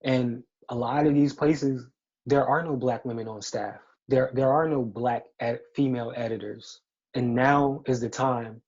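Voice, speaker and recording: A man who works as a beauty editor, speaking earnestly and deliberately in an interview answer. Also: mid-range voice, fairly even intonation, a little mellow, slightly rough, dull audio.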